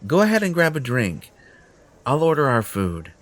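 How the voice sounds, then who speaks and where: A man's voice: medium pitch, wildly swooping pitch, normal speed, fairly bright tone. A man playing a smug, possessive, entitled superhero in an audio roleplay, pompous and condescending in manner. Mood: smug, coaxing. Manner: pompous, self-satisfied, condescending. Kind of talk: audio roleplay acting addressed to the listener.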